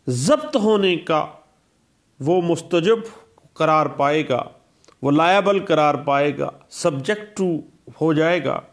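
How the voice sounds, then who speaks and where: Male law lecturer; slow, methodical, a touch high-pitched, restates each point. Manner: slow, deliberate, repeats points in mixed Urdu-English legal terms. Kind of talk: explaining a statute in a recorded lecture.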